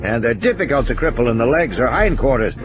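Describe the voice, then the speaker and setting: A man acts out a gruff game warden in an audio drama, terse and commanding. His pitch moves a lot as he barks blunt orders, with music underneath. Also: lively intonation, fast, natural voice. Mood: grim, matter-of-fact.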